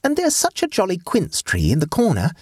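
A mischievous sixteen-year-old girl, as a male reader puts her on, airy and teasing, lifted far above his own register. Her husky voice swoops with lively, sing-song pitch. The audiobook sound is dry and close. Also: a little fast, dry recording, heavily acted.

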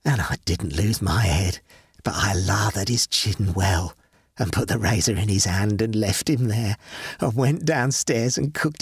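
A man's voice: mid-range voice, slightly rough, no echo, studio quality. A man voicing a vengeful dead woman who gloats with suppressed laughter over her husband's murder, in a read-aloud story.

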